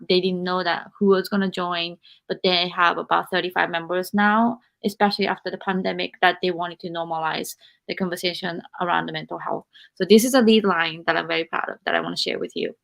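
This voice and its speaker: Gravelly-voiced Japanese woman hosting a podcast. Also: lowish voice, fairly even intonation, normal speed. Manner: warm, encouraging, searching for words. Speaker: podcast host. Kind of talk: live podcast interview.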